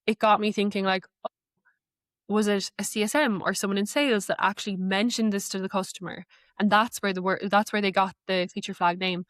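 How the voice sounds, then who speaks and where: A woman guest giving a conversational walkthrough of how she works with a software tool. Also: mid-range voice, steady tone, fairly quick, no room sound, clean studio sound. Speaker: guest, AI knowledge manager.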